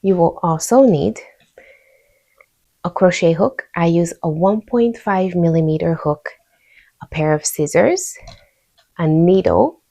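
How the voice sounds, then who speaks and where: A woman's voice: on the low side, slow pace, gravelly, long pauses, warm tone. A woman with a Hungarian accent narrating a step-by-step craft tutorial in an easygoing, informal way. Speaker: host of a crochet tutorial channel.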